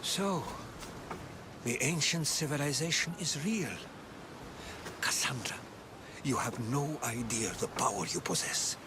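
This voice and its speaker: A man's voice: mid-range voice, a little slow, pauses often, noisy background, lightly acted. An actor voicing a curious male historian, eager and inquisitive in a video game cutscene.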